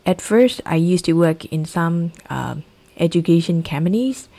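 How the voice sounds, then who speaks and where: A Burmese-accented woman in her thirties teaches an English exam lesson in a lowish, warm voice. She says key phrases slowly and repeats them so the class can copy them. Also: animated, vocal fry. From Myanmar.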